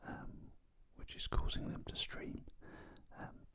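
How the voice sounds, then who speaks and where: Very slow whisper, ASMR style. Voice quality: breathy unvoiced whisper. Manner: gentle, unhurried, with pauses. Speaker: host of cooking videos. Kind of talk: whispered ASMR cooking narration.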